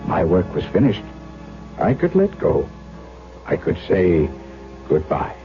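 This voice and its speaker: A muffled vintage radio recording with music underneath carries an older German doctor, kindly and earnest. His deep voice moves expressively through a deliberate, fatherly piece of radio drama. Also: sing-song, creaky, heavily acted. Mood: proud, moved. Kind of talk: 1970s radio drama acting, first-person narration.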